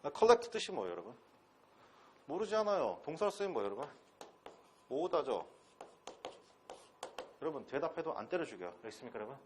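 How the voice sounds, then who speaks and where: A man's voice: mid-range voice, big pitch swings, fast talker, long pauses, a little hiss or hum. A man with a Gyeongsang accent from Pohang giving a classroom lecture as an English instructor. He talks bluntly and casually, with crude slang, and keeps throwing rhetorical questions at his students. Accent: Gyeongsang dialect. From Pohang, South Korea. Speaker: English instructor at a transfer-exam academy.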